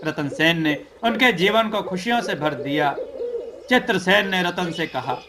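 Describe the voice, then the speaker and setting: A man's voice: high voice, lively intonation, unhurried, a crowd in the background. A man reading a scripted folk tale as a storyteller.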